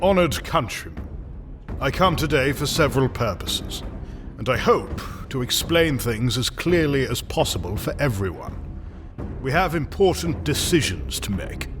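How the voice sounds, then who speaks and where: A man playing a stalwart knight grandmaster in a fantasy audio drama, giving stately, deliberate oratory over music. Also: medium pitch, sing-song, background noise. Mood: solemn, resolute.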